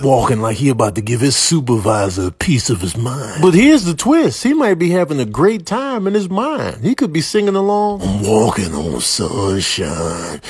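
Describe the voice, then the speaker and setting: A man's voice: medium pitch, slightly rough, no room sound, studio quality. A man doing comedic voiceover commentary in a wry, slangy, observational style.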